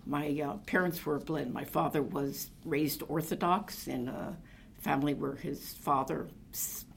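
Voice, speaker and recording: An older woman from New Jersey with a deep, gravelly voice looks back on her family in an interview. She wanders through her memories and often pauses on "um" and "uh" as she recalls details. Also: fairly even intonation, slight background noise. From New Jersey, USA. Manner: meandering, reflective storytelling with many fillers. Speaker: oral history interviewee. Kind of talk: reminiscing conversation.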